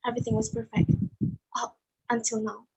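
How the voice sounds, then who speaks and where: A woman's voice: on the high side, level tone, brisk, vocal fry, husky, heavily acted, low-quality recording. A woman playing a heartbroken, humiliated young village woman telling her story in a dramatic reading. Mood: hurt, reflective. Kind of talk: dramatic monologue in a play reading.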